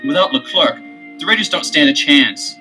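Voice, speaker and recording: An actor playing a keen, confident teenage detective brother in a 1970s children's audio adventure, earnest and emphatic. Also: male voice, fairly high pitch, animated, moderate pace, music in the background, natural voice. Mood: thoughtful.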